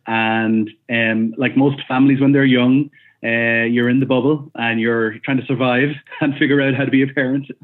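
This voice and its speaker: A man in his forties speaking as an interview guest over a remote call, thoughtful and hesitant, with many ums and restarts. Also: fairly low pitch. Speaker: guest, company founder. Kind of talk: casual interview answers. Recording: tinny phone-like call audio.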